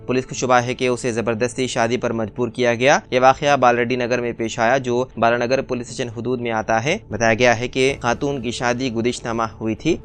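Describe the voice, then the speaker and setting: Male news narrator, mid-range pitch, formal diction, reading scripted bulletin copy. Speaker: news reader.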